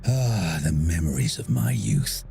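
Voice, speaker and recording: A man's voice: very deep voice, measured pace, vocal fry, faint music behind, heavily acted. An actor playing a genial, talkative older man who directs a sporting festival in a video game. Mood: nostalgic. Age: middle-aged. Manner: genial, chatty, expressive.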